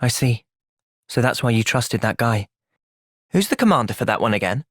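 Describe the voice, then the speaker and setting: A man playing an earnest, thoughtful young soldier and party leader in a video game, sincere and resolute in a measured way. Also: medium pitch, very animated, a bit bright, no echo, studio quality. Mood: soft, subdued. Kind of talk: video game cutscene acting.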